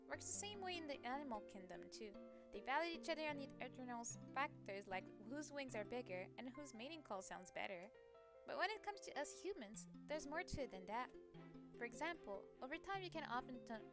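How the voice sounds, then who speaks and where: A woman voicing a playful, kindly young heroine in a visual novel, in a teasing, conversational manner. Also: sits high. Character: playful, cheeky, kindly young heroine of fairy-tale romance. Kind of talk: reading visual novel dialogue aloud. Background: game music.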